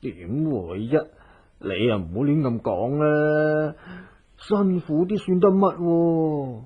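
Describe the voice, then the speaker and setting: An actor playing a doting, simple fat husband and cook in a Hong Kong Cantonese radio drama, with a fawning drawl. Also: male voice, on the high side, takes it slowly, slightly rough, narrow, tinny audio. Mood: doting, jolly.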